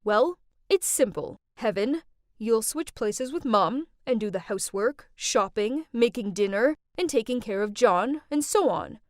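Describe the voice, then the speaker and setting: An exasperated father as a woman narrator plays him in an animated story. He is stern and firm, laying down rules in a close, dry recording. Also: a little high, measured pace, no echo. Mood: firm, explaining.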